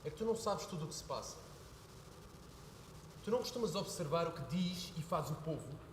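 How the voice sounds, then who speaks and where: A young man playing an earnest son who pleads with his father, building to heated shouting. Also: fairly high pitch, animated, a little fast, a little hiss or hum, natural voice. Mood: pleading. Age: young adult. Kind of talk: stage play performance. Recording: echoey hall with faint ambience.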